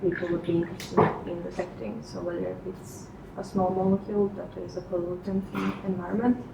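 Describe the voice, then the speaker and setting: A woman's voice: on the low side, steady tone, a little fast, creaky, reverberant, recorded from a distance, very noisy. A woman student explaining her research project to a visitor.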